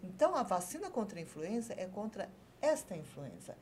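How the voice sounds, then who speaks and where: A woman doctor, a guest on a TV health talk show, explaining calmly and pausing to search for her words. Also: mid-range voice, slight background noise. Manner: measured, searching for words, many fillers.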